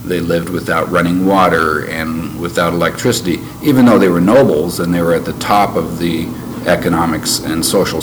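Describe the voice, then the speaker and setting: An older man who grew up in Canada, recalling his past in an interview in a measured, reflective way with frequent pauses. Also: low voice. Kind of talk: oral history interview. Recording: noisy background ambience.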